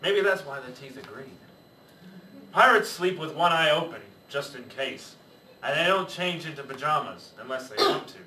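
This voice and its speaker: Bright-voiced man reading a story aloud. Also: a little high, normal speed. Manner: lively read-aloud, shouting pirate lines in a gruff put-on voice. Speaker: children's storyteller in pirate costume. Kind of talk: reading a picture book aloud to children. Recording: roomy, distant, faint background noise.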